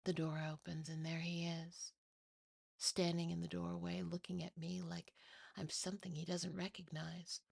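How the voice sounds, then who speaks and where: A woman's voice: on the low side, flat delivery, moderate pace, gravelly, darkish tone, slightly rough, no room sound. A woman narrating a scripted first-person horror story in ASMR style, close to the mic. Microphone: close, dry mic.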